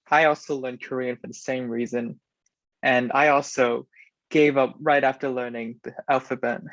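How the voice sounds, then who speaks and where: A man's voice: medium pitch, dry recording. A teenage boy from Hong Kong chatting casually as a guest on a student podcast. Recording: dry, muffled recording.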